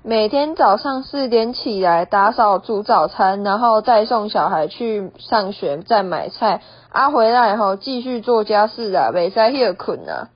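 A woman's voice: medium pitch, expressive, measured pace, hardly any pauses, breathy edge. A young woman with a Taiwanese accent voicing a bossy, scolding middle-aged mother-in-law in an amateur radio play, nagging as she switches between Hokkien and Mandarin. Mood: nagging, disdainful. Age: young adult. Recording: dull, lo-fi, roomy home recording.

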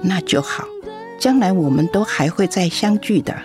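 An actress as a kind auntie in a radio drama, middle-aged, with a slightly low voice. She sounds comforting, with music faintly behind her. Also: animated, normal speed, lightly acted. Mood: tender, admiring. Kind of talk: Mandarin radio drama acting.

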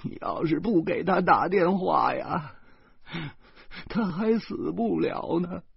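A man voicing a grieving elderly grandmother who sobs and wails in a high voice. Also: animated, slow pace, creaky, breathy edge, no echo, full character voice. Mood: sobbing grief. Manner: sobbing, wailing high voice. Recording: dull lo-fi recording.

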